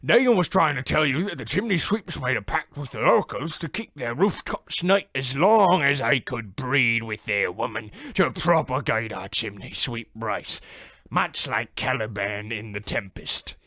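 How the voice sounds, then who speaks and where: A man's voice: medium pitch, expressive, unhurried, pushed and bright, no room sound, studio quality, heavily acted. A man playing a cocky, chatty little monstrous chimney sweep in an old-time radio horror comedy, telling tales with swagger and British slang. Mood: gleefully explaining.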